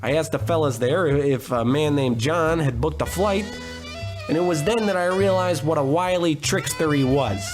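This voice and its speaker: Over music, an actor plays a cocky, hard-drinking male detective from an old radio noir spoof. His voice sits a little high for a man. He monologues with melodramatic self-importance and tosses off wisecracks. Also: moderate pace, natural voice. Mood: grave, melodramatic. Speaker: narrator and lead actor.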